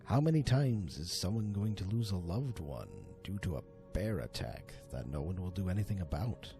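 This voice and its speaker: A man narrating a horror story in a theatrical, deliberate manner, as an amateur storyteller and voice actor. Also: sits low, expressive, dark tone, soft background music. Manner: theatrical, deliberate, swooping delivery.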